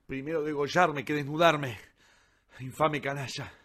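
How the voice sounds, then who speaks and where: Man acting a loud, defiant young captive. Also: fairly high pitch, steady tone, measured pace. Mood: defiant, outraged. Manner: loud, indignant. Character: defiant young male captive crying out against tormentors. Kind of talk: radio drama acting.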